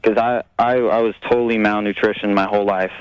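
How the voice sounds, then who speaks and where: A young man calling a radio show, talking in an earnest, halting, rambling way about himself. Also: lowish voice, level tone, clear, resonant tone, no echo, dull audio. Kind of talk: personal call-in conversation.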